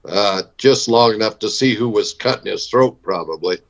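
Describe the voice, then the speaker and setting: A low-voiced, steady frontier marshal. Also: male voice, moderate pace, creaky. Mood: businesslike. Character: steady, matter-of-fact male Old West lawman. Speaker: actor playing a marshal. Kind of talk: old-time Western radio acting. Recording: dull band-limited audio.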